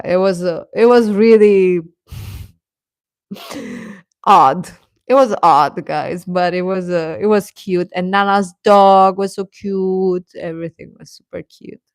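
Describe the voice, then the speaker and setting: A woman hosting a casual reaction chat, talking in an animated, giggly, rambling way and often repeating phrases for emphasis. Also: lowish voice, dry recording, clean studio sound. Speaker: livestream host chatting with viewers.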